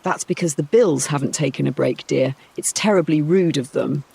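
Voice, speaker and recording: A man's voice: on the high side, moderate pace, vocal fry, darkish tone, husky, full character voice. A man voicing a weary, sardonic middle-aged noblewoman stepmother in a storybook. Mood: dryly sardonic. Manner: sardonic, practical.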